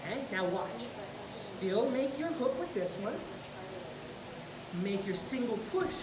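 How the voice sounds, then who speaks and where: A woman's voice: a little high, lively intonation, slow pace. A woman jiu-jitsu instructor coaching a student step by step while grappling on the mat. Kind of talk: hands-on technique teaching. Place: large indoor gym with mats. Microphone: camera far across the room. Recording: echoey gym from far off, noisy.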